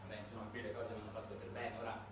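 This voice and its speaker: A man performing a dramatic storytelling monologue, emphatic and sardonic, with halting pauses. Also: lowish voice, completely flat delivery. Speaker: stage monologue performer and narrator. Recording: echoey room, distant mic, noisy background.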